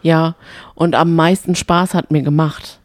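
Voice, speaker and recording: A woman's voice: sits low, little pitch movement, unhurried, dry recording, clean studio sound. A woman co-hosting a podcast, chatting casually about reality TV. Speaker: podcast co-host. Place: hotel room.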